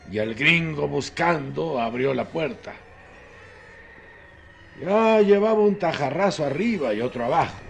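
An actor playing a rustic, gossipy Mexican countryman in a radio drama, telling things in a halting, repetitive, folksy way. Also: medium pitch, vocal fry, a little hiss or hum, full character voice. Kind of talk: radio drama acting, colloquial storytelling.

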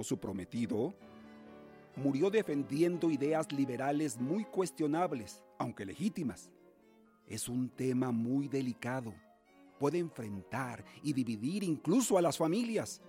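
An actor playing a stern, cautious middle-aged uncle in a radio drama, speaking in a measured, formal, paternal way. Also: male voice, mid-range voice, lively intonation, mellow, faint music behind, close to an everyday voice. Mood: cautioning. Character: stern, cautious guardian uncle of colonial Mexico.

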